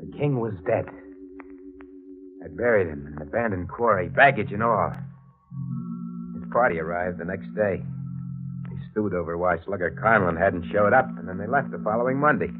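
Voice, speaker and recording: A man's voice: deep voice, moderate pace, pauses often, natural voice. A man acting an obsessed, murderous narrator in a 1940s radio thriller, intense and dramatic. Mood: grim, brooding. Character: obsessed murderous man narrating his crimes, fanatical and menacing. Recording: muffled 1940s radio recording with loud music.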